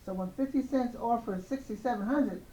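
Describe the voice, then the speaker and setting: Female commentary host, soft-edged mellow tone with slight hoarseness, casual opinionated delivery, roomy echoing sound. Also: on the high side, brisk, muffled recording.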